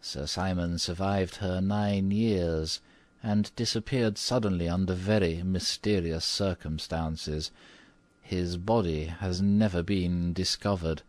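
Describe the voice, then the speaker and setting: A man voicing a quaint old woman housekeeper in an audiobook, speaking in a low, grave, mysterious, old-fashioned manner. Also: darkish tone, no room sound. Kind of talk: audiobook character voice.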